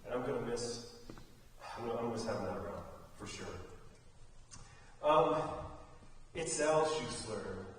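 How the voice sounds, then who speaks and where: An American man giving an informal, folksy speech as a theater director honoring students, halting and often repeating phrases. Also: mid-range voice, expressive. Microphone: distant microphone. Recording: very echoey hall, distant mic, faint noise.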